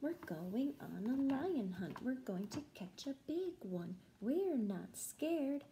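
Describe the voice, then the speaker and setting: A young woman, a music teacher, reading a children's picture book aloud in a rhythmic, sing-song, deliberate chant. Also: high-pitched, sing-song, noisy background. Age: young adult. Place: small room at home, indoors.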